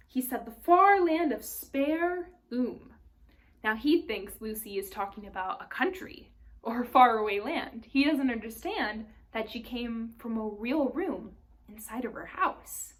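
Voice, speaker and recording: A woman reading a storybook aloud for children, expressively, pausing to explain it in simple phrases like a teacher. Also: fairly high pitch.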